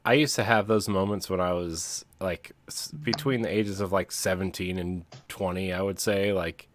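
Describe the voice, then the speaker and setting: A man co-hosting a podcast, telling personal stories casually in halting, broken-up phrases. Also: low-pitched, steady tone. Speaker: podcast co-host.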